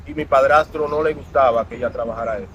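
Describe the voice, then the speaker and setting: A man's voice: medium pitch, fast talker, dull audio. A man, a guest telling his life story in an earnest, reflective way. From Dominican Republic. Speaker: interview guest. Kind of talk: personal storytelling in an interview. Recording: outdoor traffic noise.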